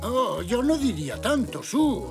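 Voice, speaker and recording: A man's voice: very high-pitched, big pitch swings, a little slow, creaky, loud music behind the voice, full character voice. An actor voicing a kindly, modest, slightly forgetful zebra grandfather in a children's cartoon dub, good-natured and hesitant. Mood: pleased, modest.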